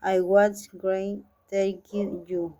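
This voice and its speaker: A young Peruvian woman and language student reads both parts of a practice dialogue in English, with a strong Peruvian Spanish accent. She speaks slowly, with many stops and restarts. Her voice is flat and even, with a soft, mellow tone. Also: medium pitch, slight background noise. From Peru. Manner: halting, reads haltingly with restarts. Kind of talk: reading a practice dialogue aloud.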